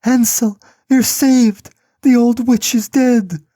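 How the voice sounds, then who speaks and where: A man voicing a frightened little girl in a storybook reading, raising his voice high and pleading. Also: a little slow, dark tone, no room sound, studio quality.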